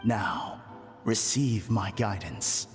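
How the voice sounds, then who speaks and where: A sinister game guru with a lowish voice in long reverb. He is solemn and grandiose, with sweeping intonation, as music plays behind. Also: expressive, extremely slow. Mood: solemn, commanding. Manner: grandiose, commanding, incantatory. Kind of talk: video game voice acting.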